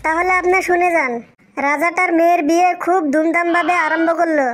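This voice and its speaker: A stubborn little cartoon schoolboy, polite yet cheeky. The voice is squeakily high and very flat, with earnest insistence and faint noise behind it. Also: female voice, a little fast. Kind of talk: comedy cartoon dialogue.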